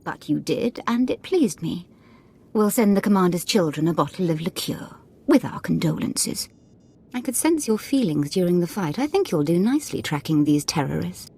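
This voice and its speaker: Husky, sweetly cruel Sith lady. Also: lowish voice, very animated, moderate pace, dark tone, heavily acted. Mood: imperious, menacing. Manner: sweetly mocking, imperious. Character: menacing, playfully sadistic female Sith lord. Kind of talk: scripted game dialogue.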